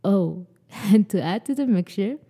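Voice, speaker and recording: A young woman from Sudan hosts a podcast conversation. Her voice is very dark and mellow, and she reads prepared lines at a mid pitch in an earnest, personal manner. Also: expressive, measured pace, husky. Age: young adult. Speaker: podcast host.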